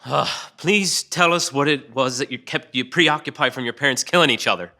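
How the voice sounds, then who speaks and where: A man's voice: medium pitch, brisk, pushed and bright. A man acting a hot-tempered, abrasive police detective who taunts and swears, in a crime drama table read. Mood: irritated, skeptical. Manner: gruffly confrontational, swearing, taunting.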